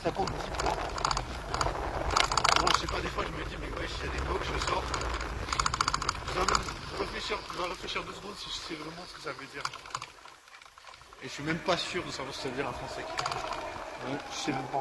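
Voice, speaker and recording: A man's voice: on the high side, steady tone, brisk, pauses often, very noisy. A young man rambling in casual slang about his day, cold and coming down with a bug, recorded outdoors. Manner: slangy, rambling, self-mocking. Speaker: vlogger. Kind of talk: rambling outdoor vlog monologue.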